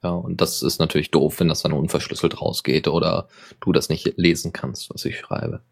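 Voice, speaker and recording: Male podcast host, very low voice, casual news chat, hesitant and rambling. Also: fairly even intonation, normal speed, vocal fry, husky. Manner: rambling, many fillers and self-corrections.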